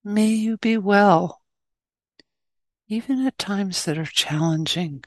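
Female meditation guide, gentle and very unhurried, slightly low register, dry close sound. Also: dry recording. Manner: gentle, measured, with long pauses. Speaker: meditation teacher. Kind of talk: guided loving-kindness meditation.

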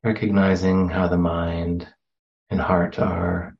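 A man with a deep voice guides a meditation very slowly and gently. He leaves long, unhurried pauses between short phrases. The recording is a little dull. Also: little pitch movement. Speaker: meditation teacher. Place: meditation center.

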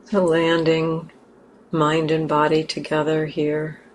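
A woman leading a guided meditation as a teacher, speaking gently and leaving long pauses between short phrases. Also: low voice, completely flat delivery, a little hiss or hum, rough recording.

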